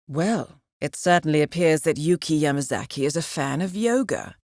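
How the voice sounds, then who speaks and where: An actress playing a cool, composed intelligence handler in a video game, briefing an assassin in a measured, dryly ironic way. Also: female voice, low-pitched, expressive, darkish tone, dry recording, clean studio sound. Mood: dry, wry. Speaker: mission handler.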